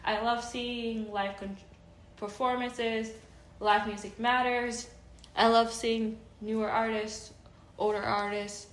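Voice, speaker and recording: A woman's voice: a little high, little pitch movement, takes it slowly, reverberant. A woman in her thirties chatting casually and enthusiastically in a vlog, rambling with many fillers and restarts. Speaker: vlogger.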